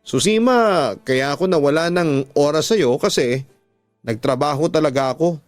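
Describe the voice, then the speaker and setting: Man playing a remorseful husband, earnest and pleading, fairly high voice, dry close sound, soft music underneath. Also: moderate pace, no room sound, lightly acted. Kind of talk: radio drama acting.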